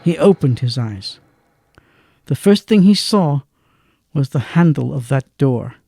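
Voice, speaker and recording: An actor as a solemn storyteller, giving dramatic literary narration in an audio drama with deliberate phrasing. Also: male voice, mid-range voice, animated, gravelly, dark tone, breathy edge, dry recording, studio quality, full character voice. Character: solemn male storyteller of a literary radio play.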